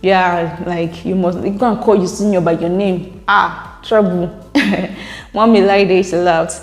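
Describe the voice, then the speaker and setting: A young woman with a Nigerian accent talks to her viewers in a relaxed, chatty way and explains her points at length. Her voice sits low for a woman. The recording is roomy, with a long reverb trailing each phrase. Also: a little slow, soft background music. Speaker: vlogger reading viewer comments. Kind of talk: casual chat to camera. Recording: echoey room with long reverb.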